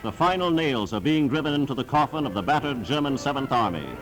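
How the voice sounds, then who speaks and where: A man's voice: mid-range voice, expressive, fast, loud music behind the voice, poor audio. A man narrating a scripted wartime newsreel in an emphatic, declamatory announcer's manner, on a vintage 1940s soundtrack.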